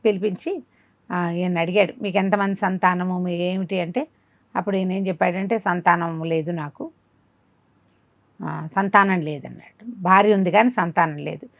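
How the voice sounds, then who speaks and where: A woman's voice: fairly low pitch, steady tone, moderate pace, pauses often, no echo, highs cut off. A woman telling a folk tale informally, conversationally asking rhetorical questions as she narrates. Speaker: storyteller.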